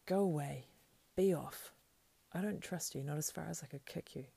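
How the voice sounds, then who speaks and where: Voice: mid-range voice, fast, creaky, darkish tone, slightly rough, no room sound. A narrator voicing a loyal, hot-tempered male servant, blunt and urgent, in a storybook reading. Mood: angry, wavering.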